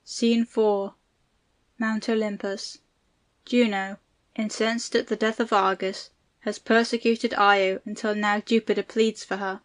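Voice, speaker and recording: A woman narrates stage directions from a script. Her voice is mid-pitched and stays very even, with little rise or fall. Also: measured pace.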